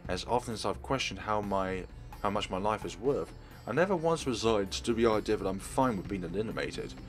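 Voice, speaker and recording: A man's voice: fairly low pitch, expressive, brisk, faint music behind, natural voice. A man reading aloud a teenage girl's inner narration from a game in his own voice, with small restarts. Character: teenage girl narrator, average and nosy. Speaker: Let's Play reader.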